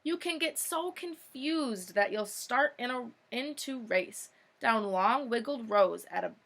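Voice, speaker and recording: A woman's voice: fairly high pitch, expressive, unhurried, fairly bright tone. A young woman, a teacher, reading a children's picture book aloud expressively, with short informal asides.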